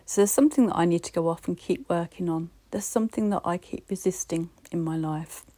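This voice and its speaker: A woman with a British accent giving a reflective solo podcast talk, gently encouraging and speaking straight to the listener. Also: fairly low pitch, brisk. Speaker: podcast host.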